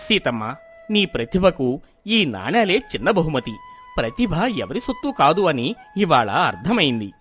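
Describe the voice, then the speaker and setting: A man's voice: fairly high pitch, big pitch swings, moderate pace, fairly bright tone, faint music behind. A man voicing a proud village landlord turned humble and grateful, solemn and earnest, in a moral story. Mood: humbled, grateful. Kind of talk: Telugu moral story dubbing.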